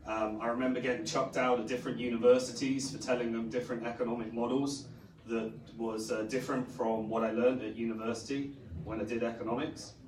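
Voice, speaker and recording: Hoarse man speaking in an echoey hall. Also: mid-range voice, flat delivery, fairly quick, darkish tone. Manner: rambling with many fillers. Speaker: conference speaker. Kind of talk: conference talk. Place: conference stage. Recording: echoey hall, distant mic, faint noise.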